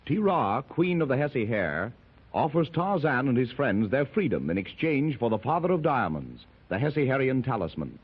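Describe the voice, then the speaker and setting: A man's voice: medium pitch, big pitch swings, moderate pace. A man narrating an adventure radio serial in a declamatory, dramatic style. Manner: declamatory, rising with the action. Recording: hissy muffled 1930s radio transcription.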